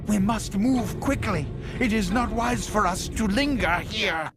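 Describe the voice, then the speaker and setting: An actor playing a calm alien seer spy in a video game, speaking in a measured, formal and grave way. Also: mid-range voice, music in the background, close to an everyday voice. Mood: grave, composed. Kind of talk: video game cutscene acting.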